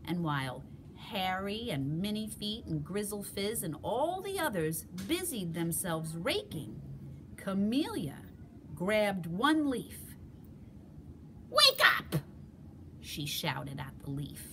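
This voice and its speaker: A woman teacher reading a picture book aloud, telling it slowly and expressively. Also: medium pitch, background noise. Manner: slow, expressive storytelling.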